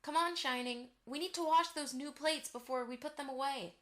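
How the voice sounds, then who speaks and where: A woman playing a middle-aged mother mare in a fan audio drama, firm, brisk and affectionate. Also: sits high, pushed and bright. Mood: brisk, commanding.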